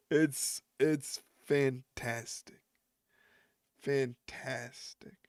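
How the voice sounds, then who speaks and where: A man's voice: a little high, drawn-out words, a little mellow, no room sound, clean studio sound. A man hosting a wrestling live stream, rambling and joking with his chat and often chuckling. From United States.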